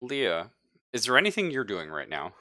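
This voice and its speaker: A man's voice: fairly low pitch, wildly swooping pitch, moderate pace, pushed and bright. A man acting as game master, narrating a live tabletop roleplaying scene in suspenseful second person.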